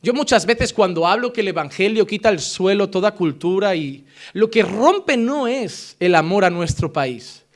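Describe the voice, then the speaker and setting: A man from Spain preaching a sermon with a Spain Spanish accent, switching between forceful emphasis and quiet, earnest passages. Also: high voice, lively intonation, normal speed.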